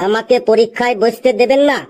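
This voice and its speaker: A woman's voice: on the high side, steady tone, fast talker, mellow, natural voice. A woman voicing a cheeky, literal-minded schoolboy in a comedy cartoon, answering back in a matter-of-fact way. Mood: cheeky pleading.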